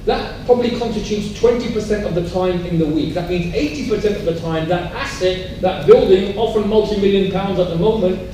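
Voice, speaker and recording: A man's voice: sits high, fairly quick, few pauses, mellow. A man giving an earnest, emphatic panel answer at a community talk, repeating his key points. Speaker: panel speaker. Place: large echoey room at a public event. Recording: very echoey hall, distant mic, faint noise.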